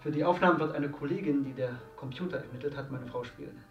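An actor playing a self-assured, ambitious husband in a radio drama, explaining things emphatically and with self-satisfaction. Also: male voice, mid-range voice, quick pace, roomy sound, recorded from a distance, dull audio, faint music behind, lightly acted. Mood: explaining, pleased.

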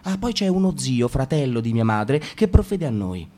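A man's voice: mid-range voice, big pitch swings, moderate pace, dark tone. A man playing a cocky, self-destructive young slacker in a radio drama, rambling and interrupting himself as he confesses. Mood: offhand, self-assured. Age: young adult. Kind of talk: theatrical radio drama monologue.

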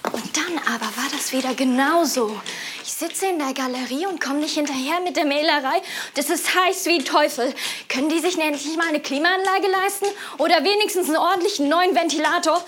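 Frazzled young-sounding gallery woman played by an actress, high-pitched and frustrated, clear noise behind her. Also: normal speed, fairly bright tone. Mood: exasperated. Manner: frustrated, repetitive. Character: exasperated, overworked gallery assistant stuck on emails. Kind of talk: spoken-word monologue.

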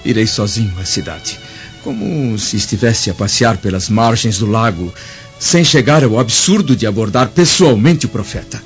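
A man's voice: lowish voice, moderate pace, close to an everyday voice. A man playing a proud Roman senator and anguished, doting father in a radio drama, with formal, emotional diction. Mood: anguished, reluctant. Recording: dull lo-fi audio over music.

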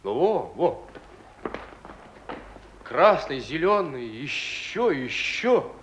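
Excitable, lively-voiced young soldier. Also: male voice, a little high, drawn-out words, slight background noise, full character voice, poor audio. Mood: curious, alert. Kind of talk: radio drama acting.

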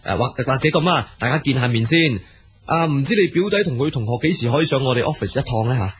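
An actor playing a meek, polite, henpecked husband in a radio drama, speaking hesitant, deferential Hong Kong Cantonese. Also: male voice, mid-range voice, expressive, fast, slight background noise, lightly acted. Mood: polite, grateful.

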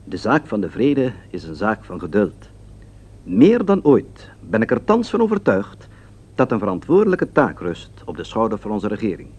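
Solemn radio correspondent, swooping intonation. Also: male voice, on the low side, wildly swooping pitch, moderate pace, darkish tone, breathy edge, heavily acted. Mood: formal, measured. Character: solemn male foreign radio news correspondent. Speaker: news correspondent. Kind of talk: scripted news report in a radio play. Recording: dull lo-fi radio sound.

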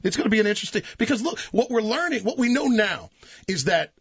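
Man, 60s, gravelly husky voice, lively teasing radio banter, muffled dry studio sound. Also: high voice, fast, no echo. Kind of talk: sports talk radio banter.

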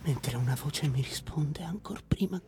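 An actress playing a dreamlike, awestruck narrator in a breathy, hushed whisper, as in radio theatre. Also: female voice, low voice, lively intonation, measured pace. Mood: hushed, awed. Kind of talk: dramatic literary reading, radio theatre.

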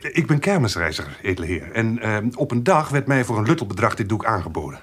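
An actor playing a cunning fairground rogue in a Dutch audio drama, colloquial, stammering and haggling or servile by turns. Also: male voice, lowish voice, very animated, breathy edge. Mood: servile, evasive. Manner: colloquial, hesitant, halting with many stammers.

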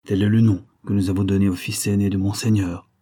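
Man voicing an aged squire, dark mellow tone, matter-of-fact. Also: lowish voice, flat delivery, a little fast, natural voice. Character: old loyal squire, plain-spoken servant.

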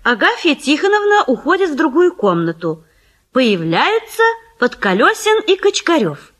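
A woman narrates an audio play, reading the stage directions. Her voice is high and very lively in pitch. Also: moderate pace.

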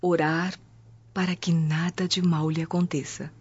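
A woman's voice: deep voice, level tone, a little slow. An actress playing a devout, cautious Roman mother, grave and motherly, in a radio drama. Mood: cautioning. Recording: muffled lo-fi audio with music behind.

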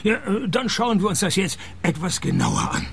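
A cynical, world-weary private detective with a gravelly, fairly high voice that swings lively up and down. He tosses off dry, sardonic wisecracks in a radio play, with faint music behind him. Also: moderate pace, slight background noise, full character voice.

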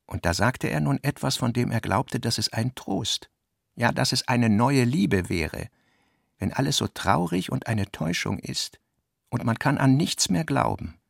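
Thoughtful novel narrator for radio drama, gravelly, deliberate w pauses, very dry sound. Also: male voice, medium pitch, expressive, no echo, heavily acted. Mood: reflective. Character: reflective male narrator of a modernist novel. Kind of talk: literary radio-play narration.

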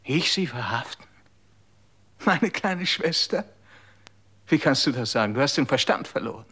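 An actor in a radio drama playing a distraught man who searches for his hidden little sister, talking in hushed whispers. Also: mid-range voice, animated, moderate pace, slightly rough. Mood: indignant.

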